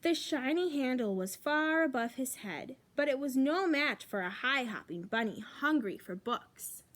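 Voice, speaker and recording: A woman reading a children's picture book aloud as a library storyteller, expressively and with emphatic pauses. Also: high voice, fairly bright tone.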